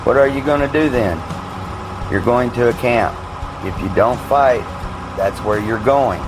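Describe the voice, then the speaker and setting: A man's voice: medium pitch, measured pace, close to an everyday voice. A man acting in an audio drama as a hardened, pragmatic fighter with a blunt, matter-of-fact manner. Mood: dismissive. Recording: clear engine and vehicle noise behind.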